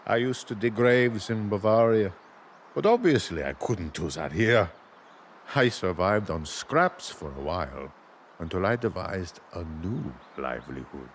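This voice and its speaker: Wry tired gravedigger for a game, really low voice, swings up and down, sly. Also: male voice, animated, a little slow, slight background noise. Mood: wry, matter-of-fact. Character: weary, wry gravedigger turned memorial crafter. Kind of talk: video game character dialogue.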